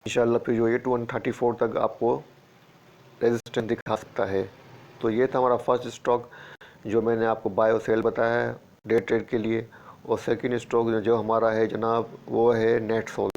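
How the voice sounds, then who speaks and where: A man's voice: medium pitch, little pitch movement, normal speed, a little mellow, highs cut off. A man with a sore throat, steadily walking through stock charts and repeating price levels as a market analyst. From Pakistan. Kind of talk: screen-share chart walkthrough.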